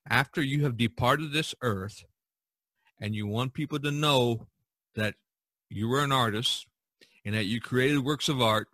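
A mature male podcast host with a bright voice and a dry, close sound. He talks at length in a casual, rambling way, leaning on repeated phrases to drive things home. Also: mid-range voice, no echo. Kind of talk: casual podcast talk, long monologue.

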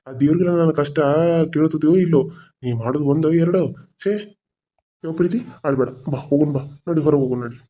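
A man's voice: on the high side, dark tone, natural voice. A man with a North Karnataka Kannada accent, playing a weary village uncle who frets and sounds fed up. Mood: weary fretting. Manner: fretful, fast, fed-up.

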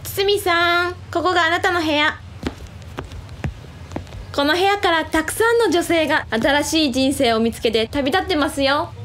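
Actress as kindly, encouraging woman, high-pitched voice. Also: a little slow, fairly bright tone, slight background noise. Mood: reassuring. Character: kindly, reassuring woman welcoming a newcomer. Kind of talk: radio drama acting.

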